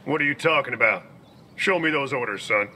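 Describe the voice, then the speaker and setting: A curt, bossy army officer. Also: male voice, medium pitch, a little fast, bright, forceful tone, slight background noise. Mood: gruff. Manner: curt, commanding. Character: gruff male officer, blunt, dismissive. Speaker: army lieutenant. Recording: cutscene with score music.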